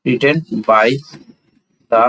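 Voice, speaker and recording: Male tutor, Bangladeshi accent, mid-pitched voice; instructional delivery, walks learners through each step with self-answered questions. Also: fairly even intonation, drawn-out words, a bit bright, poor audio. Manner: instructional, asks and answers own questions, switches between Bengali and English. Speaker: English grammar teacher. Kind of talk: classroom-style lesson, explaining step by step.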